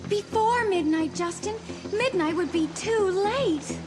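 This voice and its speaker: A woman's voice: very high voice, lively intonation, slow pace, loud music behind the voice, heavily acted. A woman playing a desperate, pleading teenage girl in a dramatised audiobook. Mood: urgent pleading. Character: desperate teenage girls, a trapped spirit and her impostor.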